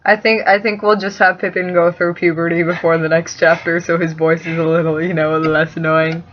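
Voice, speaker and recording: A woman's voice: on the low side, level tone, a little fast, slight background noise. Casual woman co-host, slightly muffled recording. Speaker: co-host reading fan fiction. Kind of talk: casual, joking chat.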